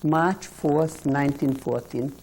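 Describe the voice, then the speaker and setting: A Hungarian-born woman in her eighties, recalling her life slowly and haltingly in an oral history interview. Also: sits low, warm tone, a little hiss or hum, low-quality recording. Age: 80s. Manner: slow, halting recollection with restarts.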